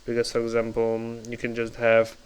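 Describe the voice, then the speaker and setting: A man from Slovakia with a Slovak accent gives casual, halting commentary over a video, full of fillers. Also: medium pitch, completely flat delivery, smooth. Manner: hesitant, halting, many fillers. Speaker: reaction video host.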